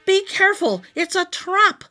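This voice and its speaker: A woman's voice: very high voice, very animated, a little slow, pushed and bright, faint music behind, pitched above the performer's own voice, full character voice. A woman voicing a tiny, grateful leprechaun man in a storybook, with courtly, exclaiming rhymes. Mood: alarmed. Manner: exclaiming, courtly rhyming speech. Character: weary, grateful little old-world leprechaun man with beard.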